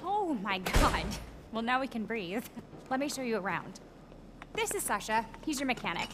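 A frazzled young female production assistant in a video game, tossing off sarcastic asides. Her voice is high and swings widely in pitch. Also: sing-song, moderate pace, very noisy. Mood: harried.

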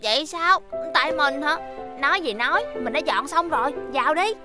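An actor playing an excitable, proud, playful young child in an animated children's cartoon dub, talking in eager exclamations. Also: sits high, moderate pace, over music.

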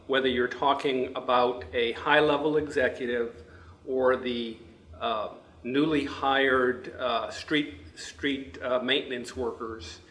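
A man in his fifties, a counselor and manager, giving a prepared interview in measured, deliberate phrases. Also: medium pitch, fairly bright tone. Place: office indoors. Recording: echoey office room.

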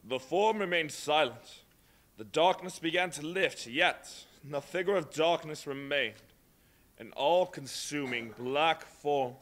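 Male stage narrator, measured delivery, bright and fairly high voice. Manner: measured storytelling. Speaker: narrator and actor. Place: large hall with audience.

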